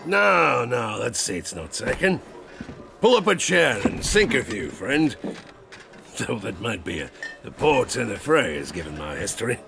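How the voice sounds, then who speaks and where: An actor playing an old, garrulous sailor and former harpooner, telling tavern tales in rustic seafaring dialect for an audio drama. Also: male voice, mid-range voice, big pitch swings, a little slow, vocal fry, music in the background. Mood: wry, friendly. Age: older. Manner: rustic sailor dialect, chuckling, conspiratorial asides. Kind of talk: theatrical audio drama storytelling.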